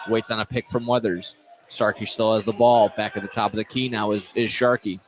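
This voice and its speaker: Man calling basketball over loud gym noise. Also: medium pitch. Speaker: play-by-play basketball announcer. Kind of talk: live sports radio commentary.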